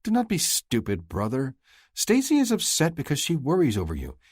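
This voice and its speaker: A man's voice: on the high side, wildly swooping pitch, a little mellow, slightly rough, dry recording, clean studio sound, voice pushed up, full character voice. A man doing a bossy teasing sister. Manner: lecturing, brisk. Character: bossy teasing younger sister, young woman.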